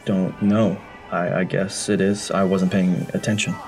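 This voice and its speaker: Man doing a nervous stammering architect, lowish voice, faint music behind. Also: flat delivery, unhurried, darkish tone, in a large hall. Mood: anxious. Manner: stammering, rattled. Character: anxious, sleepless big-city architect. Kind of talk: audio drama acting.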